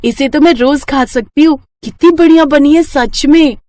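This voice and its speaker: Woman as a high-pitched, picky little girl. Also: moderate pace, a little mellow, dry recording, studio quality, natural voice. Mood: delighted. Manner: eager, pleading. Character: picky, excitable little daughter, a young girl. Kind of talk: acted dialogue in a children's moral story.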